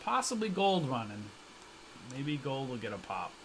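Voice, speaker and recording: A man's voice: mid-range voice, very animated, normal speed, a bit bright, slight background noise. A man hosting a stock trading livestream in casual, joking chat, reading out viewer comments and reacting loosely with slang.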